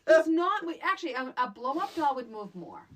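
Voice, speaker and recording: A woman with a high voice chats as a podcast co-host. Her pitch moves around in a lively way, and her casual, comedic commentary comes across as blunt, wry and exasperated. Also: fairly quick. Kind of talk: casual comedic movie-discussion chat.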